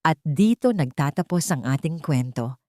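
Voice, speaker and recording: A woman's voice: sits low, lively intonation, moderate pace, dark tone, dry recording, clean studio sound. A woman reading a scripted dramatic story as its narrator.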